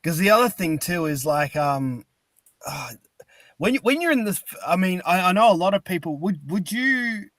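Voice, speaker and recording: A man's voice: high voice, animated, normal speed, fairly bright tone, no room sound. A man chatting casually as a podcast host, rambling and informal. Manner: rambling, informal, restarts thoughts. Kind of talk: casual chat.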